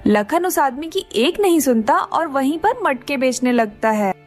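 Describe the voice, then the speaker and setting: A woman's voice: high-pitched, lively intonation, measured pace, faint music behind. A woman reading scripted narration for a children's cartoon story.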